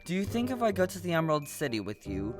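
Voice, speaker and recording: A woman's voice: deep voice, big pitch swings, fast, over music. A woman playing a friendly, naive straw-stuffed male scarecrow, earnest and formally polite, in an audio play performed on stage. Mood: earnest, wistful. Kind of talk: stage radio play acting.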